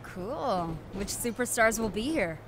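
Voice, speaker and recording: A woman's voice: medium pitch, lively intonation, measured pace, a bit bright, a little hiss or hum. An actress playing a confident young female wrestler who speaks matter-of-factly.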